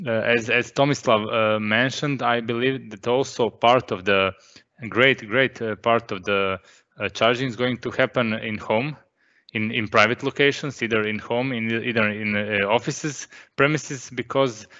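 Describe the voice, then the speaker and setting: Bright-voiced energy expert. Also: male voice, mid-range voice, steady tone, unhurried. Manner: explanatory, repeats words while thinking. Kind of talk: technical explanation. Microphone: video call mic. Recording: dull lo-fi call audio.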